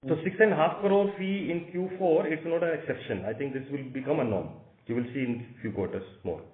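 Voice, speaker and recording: Male executive for earnings Q&A: Indian accent, halting and repetitive delivery, roomy sound over a phone-like connection. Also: a little high. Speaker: company executive answering analyst questions. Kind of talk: spontaneous earnings call answers. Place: conference call. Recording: roomy echo, narrow phone-like line.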